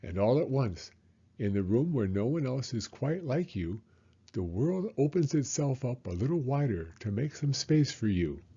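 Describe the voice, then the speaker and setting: A man reading a children's picture book aloud as a story time host, with expressive, unhurried narration. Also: mid-range voice, breathy edge, dull audio.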